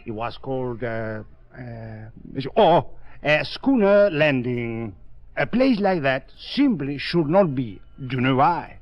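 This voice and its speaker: An actor playing a broke, fussy, theatrical French painter with a French accent in an old-time radio drama. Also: male voice, mid-range voice, big pitch swings, takes it slowly. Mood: chatty, disgusted. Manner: hesitant, exclamatory, peppered with French phrases. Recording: dull vintage radio recording.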